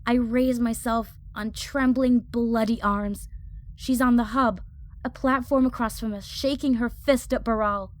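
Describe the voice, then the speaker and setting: A woman's voice: on the high side, steady tone, unhurried, close to an everyday voice. A woman playing a hot-headed young female fire mage, narrating an audio drama in the first person with intense, clipped phrases. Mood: urgent, determined.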